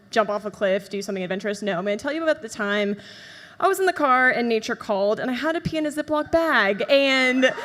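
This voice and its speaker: A woman's voice: on the high side, a bit bright, in a large hall. A woman giving a playful, upbeat talk on stage, laughing as she goes. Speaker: presenter at a live talk night.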